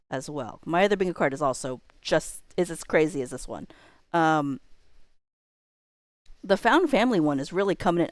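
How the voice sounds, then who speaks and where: Young woman streamer rambling casually, deep voice that swings all over, really dry close sound. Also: no room sound. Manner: animated, often laughing, rambling as she weighs options. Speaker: livestreamer. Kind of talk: casual livestream chat, thinking out loud.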